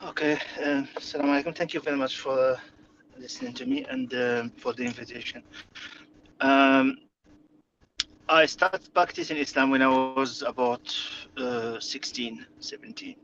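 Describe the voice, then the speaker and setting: A man's voice: medium pitch, animated, moderate pace, creaky, muffled recording, noisy background. A man from Morocco whose first language is Arabic, speaking hesitantly with many fillers and restarts as an interview guest. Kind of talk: conversational interview.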